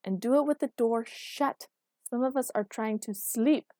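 A woman's voice: mid-range voice, fairly quick, a little mellow, no echo, clean studio sound, lightly acted. A woman voicing an irritable, sleepy, stubborn young woman with a whiny, grumbling manner in an audiobook. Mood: annoyed. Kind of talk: acted audiobook dialogue.

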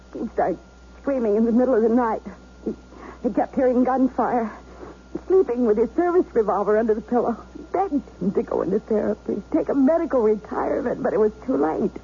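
Mellow, desperate hostage wife. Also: female voice, fairly high pitch. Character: policeman's battered, desperate wife held hostage. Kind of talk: 1970s radio drama acting. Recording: dull, faintly noisy 1970s radio recording.

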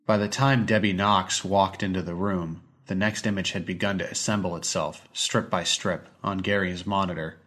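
A man's voice: sits low, steady tone, moderate pace, few pauses, a bit bright. A man reading a scripted short story as an audiobook narrator.

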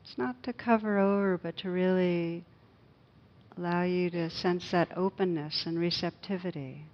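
A woman meditation teacher leading a guided loving-kindness meditation in a gentle, hushed, unhurried manner with long pauses. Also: lowish voice, steady tone, dull audio.

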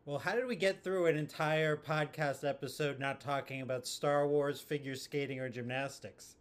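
A man's voice: on the high side, fairly even intonation, moderate pace. A man speaking casually and conversationally as a podcast host.

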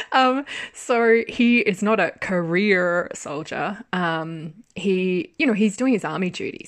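A young adult woman giving a casual, rambling spoken review of a drama, full of fillers and self-aware laughter. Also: on the low side.

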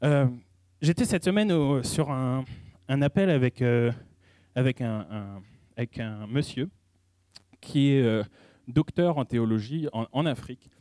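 A man giving a sermon in a conversational style, pausing often and restarting his sentences as he speaks. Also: mid-range voice, warm tone, dry recording, clean studio sound. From French-speaking. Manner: conversational preaching with frequent hesitations and restarts. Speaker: preacher.

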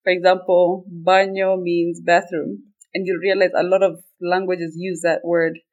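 A woman in her twenties from Kenya, a guest in a casual interview who talks in a relaxed way and laughs often. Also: fairly low pitch, unhurried, highs cut off. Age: 20s.

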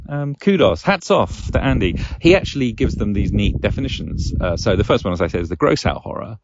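Male podcast host, very low register with creaky fry, hesitant and reflective, slightly dull home recording. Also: big pitch swings, background noise. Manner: hesitant, thinking aloud in halting phrases. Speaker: podcast host and game master. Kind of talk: reflective solo podcast monologue, casual.

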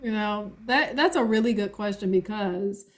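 A woman hosting a podcast interview, listening supportively and responding warmly to her guest. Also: mid-range voice, moderate pace. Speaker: podcast host. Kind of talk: casual conversational interview.

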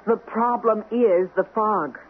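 An actress playing a nervous, hesitant wife in an old-time radio drama, on a tinny, hissy recording. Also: female voice, mid-range voice, slow, close to an everyday voice. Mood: worried. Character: anxious wife waiting for a missing guest.

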